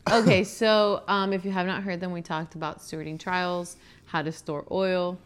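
Young woman born in Venezuela, earnest podcast co-host with a slightly low voice, casual delivery. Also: flat delivery, moderate pace, smooth. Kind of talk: casual faith podcast chat.

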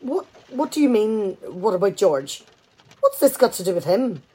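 An actress playing an exasperated, sarcastic wife in a radio play comedy, talkative and full of indignant questions. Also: female voice, mid-range voice, sing-song, moderate pace, mellow, husky.

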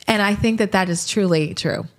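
A woman in her late forties, a podcast host, answering rapid-fire questions in a candid, reflective way and laughing easily. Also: mid-range voice. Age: 40s.

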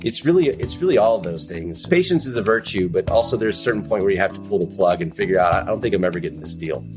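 A man's voice: deep voice, lively intonation, fast talker, over music. A man speaking as an interview guest, laid-back and thoughtful, laughing easily. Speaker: guest, sales leader. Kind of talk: casual interview.

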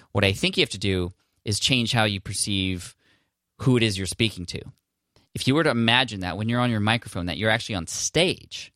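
A man's voice: fairly low pitch, fairly bright tone, no echo, clean studio sound. A man hosting a podcast, talking casually and encouragingly, often restarting his phrases. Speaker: podcast host. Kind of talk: casual advice monologue. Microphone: podcast microphone, standing.

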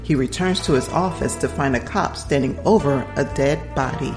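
Low-voiced woman introducing over music. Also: normal speed. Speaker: podcast host. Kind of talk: scripted introduction. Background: prominent music.

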